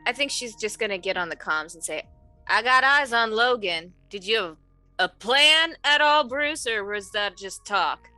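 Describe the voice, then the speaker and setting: A woman with a bright, forward voice improvises as a player in a tabletop game. She is slangy, informal and animated, with faint music underneath. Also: medium pitch, moderate pace, dry recording. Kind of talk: casual improvised roleplay chat.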